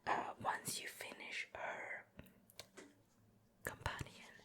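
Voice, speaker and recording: A woman doing an ASMR show and tell in a soft, breathy whisper close to the microphone, gently murmuring her commentary. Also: slow. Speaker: ASMR video creator. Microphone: close microphone, ear-to-ear ASMR recording.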